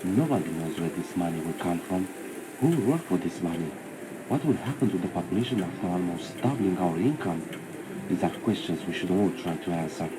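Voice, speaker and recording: Grave, deep-voiced political orator, noisy. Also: male voice, animated, fairly quick. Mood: grave, concerned. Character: grave, indignant political speaker denouncing the government. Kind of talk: broadcast political speech.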